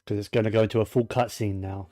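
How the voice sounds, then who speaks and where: A man's voice: fairly low pitch, steady tone, quick pace, dark tone, dry recording. A man giving casual, informal game commentary in short reactions as a streamer. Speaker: gaming streamer. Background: faint game music.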